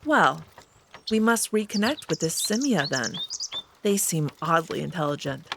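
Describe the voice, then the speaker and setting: A woman's voice: fairly low pitch, sing-song, a little slow, vocal fry, noisy background, exaggerated voice. A woman playing a haughty, teasing female alien wolf noble in a visual novel, arch, composed and dismissive. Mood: intrigued.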